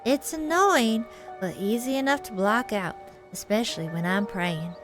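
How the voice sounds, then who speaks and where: A woman voicing a mild, earnest male pastor in a visual novel, gentle, wordy and prim, with music behind. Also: fairly high pitch, moderate pace, lightly acted. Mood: worried, earnest. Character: mild, earnest male small-town pastor, fretful and devout.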